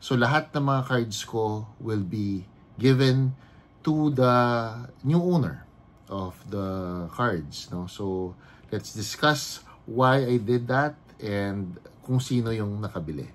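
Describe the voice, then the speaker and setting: A man in his fifties with a Filipino accent, chatting casually in his vlog and mixing Tagalog into his English with frequent pauses and fillers. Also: lowish voice, animated, slight background noise. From Philippines. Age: 50s. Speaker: vlogger and card collector.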